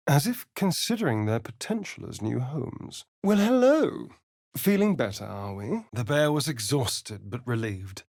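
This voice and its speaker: A British actor playing a sly, vain vampire spawn rogue in a video game, with an arch, theatrical, drawling delivery. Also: male voice, medium pitch, no room sound, studio quality. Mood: arch, teasing. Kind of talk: dramatic video game voice acting.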